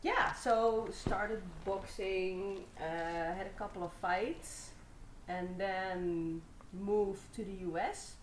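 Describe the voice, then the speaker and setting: A young woman from the Netherlands, a Muay Thai fighter, telling her story in a relaxed, rambling way with many fillers. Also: mid-range voice, takes it slowly, roomy sound, slight background noise, poor audio. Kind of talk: casual interview answers.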